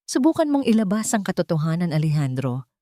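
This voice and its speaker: A woman voicing a cold, scheming wealthy wife who talks in a sharp, sneering, threatening way. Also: lowish voice, big pitch swings, fairly quick, no room sound, clean studio sound. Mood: menacing.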